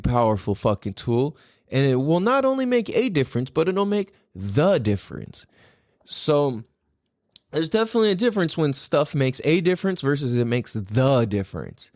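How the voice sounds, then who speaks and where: A man's voice: medium pitch, darkish tone, dry recording, clean studio sound. A man hosting a podcast in a casual, rambling, opinionated way, speaking animatedly and swearing freely. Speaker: podcast host.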